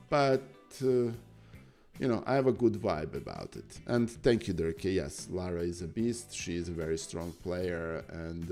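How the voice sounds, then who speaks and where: A man with a raspy voice commenting on chess games in a casual live stream, halting, with many fillers and restarts. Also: sits low, darkish tone.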